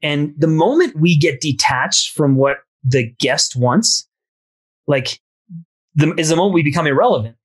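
A man in his thirties, a guest ski instructor, explaining his ideas in a thoughtful, measured way on a video call. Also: a little high, lively intonation, slightly rough. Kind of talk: casual video-call interview. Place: small home room. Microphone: webcam on a video call.

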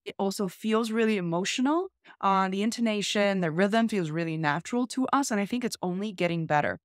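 A woman's voice: mid-range voice, brisk, dry recording, studio quality. A woman in her thirties talking casually and chattily to camera, like a tech vlog host. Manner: chatty, informal. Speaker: host, tech content creator. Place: small room at home, indoors.

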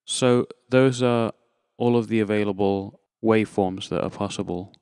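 A man's voice: lowish voice, steady tone, moderate pace, a little mellow, clean tone, dry recording, clean studio sound. A man giving an informal, unscripted tutorial, explaining things step by step as a presenter. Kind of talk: informal instructional demonstration.

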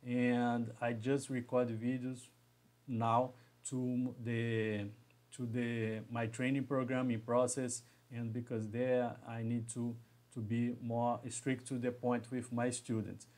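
A man in his thirties with a Brazilian Portuguese accent, speaking in a middle-pitched voice. He talks to live viewers slowly and haltingly, often repeating a word before going on. He sits at a webcam in a small room at home. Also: flat delivery, a little mellow. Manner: halting, with frequent restarts and repeated words. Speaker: engineering educator hosting a live session. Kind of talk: live stream talk to viewers.

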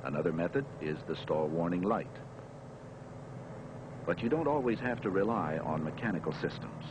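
A man's voice: sits low, expressive, moderate pace, noisy background. An American man narrating a scripted training film in an instructive, emphatic way, on a 1970s film soundtrack.

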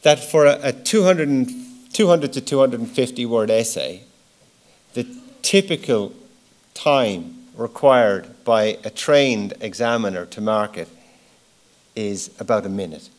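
A man's voice: medium pitch, unhurried. A middle-aged man giving an informal, explanatory keynote at a lectern, plain-spoken, stressing key words and letting phrase ends trail off. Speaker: keynote speaker. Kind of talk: conference presentation, informal and explanatory. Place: large wood-panelled auditorium, at a lectern. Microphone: lectern gooseneck microphone.

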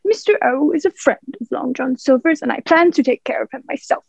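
Woman doing a sly pirate boss, really creaky voice, salty talk, muffled home recording. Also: high voice, fairly quick, no echo. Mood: threatening. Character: cunning, commanding male pirate ringleader, falsely friendly. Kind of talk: amateur radio play acting.

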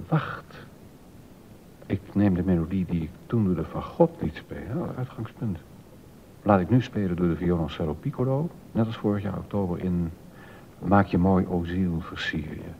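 An actor playing an absorbed middle-aged male composer in a drama, musing haltingly and correcting himself as he thinks aloud. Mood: pensive, working. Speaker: actor in a docudrama. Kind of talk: Dutch radio drama acting, thinking aloud. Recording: dull lo-fi sound with rumbling noise.

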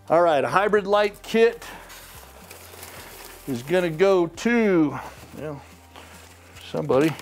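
A middle-aged man hosting a sweepstakes drawing in a small studio, casually announcing winners' names and towns off the cuff. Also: sits high. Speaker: sweepstakes host. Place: indoor studio with wood walls and mounted trophies.

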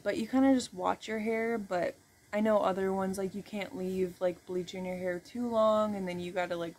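A woman's voice: medium pitch, a little mellow, a little hiss or hum. A young woman giving a casual, rambling hair dye tutorial in a small bedroom, filler words throughout. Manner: rambling, chatty, heavy use of "like" and "um". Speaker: beauty vlogger.

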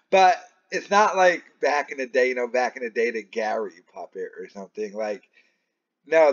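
A man giving casual opinion commentary in a baffled, rambling way, repeating phrases for emphasis. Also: medium pitch. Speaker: online commentator. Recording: dull, lo-fi sound.

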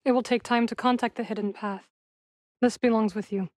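A solemn young witch warrior from a video game, played by a woman. Her voice sits a little high and she chooses her words formally, with measured care and a guarded, wry edge. The sound is dry, with no room around it. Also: fairly even intonation, darkish tone, dry recording, natural voice. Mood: solemn, gentle. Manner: measured, formal phrasing with few contractions. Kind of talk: video game character dialogue.

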